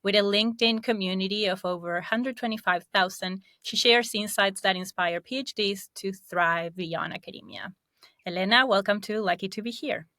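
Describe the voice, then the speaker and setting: A woman's voice: medium pitch, normal speed, sharp tone, dry recording, studio quality. A woman hosting a podcast interview, upbeat and asking curious questions. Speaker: podcast host and career coach.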